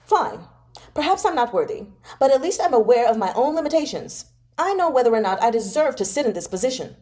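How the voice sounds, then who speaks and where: A woman's voice: fairly high pitch, very animated, a little fast, mellow, pitched above the performer's own voice. A woman reading aloud as a haughty, mocking young nobleman with a cutting, easily offended manner. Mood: frosty. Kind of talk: dramatic novel read-aloud.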